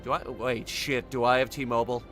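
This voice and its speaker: A man's voice: medium pitch, level tone, moderate pace. A man streaming a driving game, chatting casually, who stutters and restarts his sentences. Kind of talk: casual chat while playing a driving game. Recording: loud background music.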